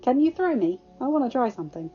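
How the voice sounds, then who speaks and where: A woman voicing an excitable, friendly teenage boy with an earnest, bubbly, informal way of talking in a story reading. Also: high-pitched, fast, slightly rough, highs cut off, soft background music, voice pushed up, full character voice. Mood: upbeat.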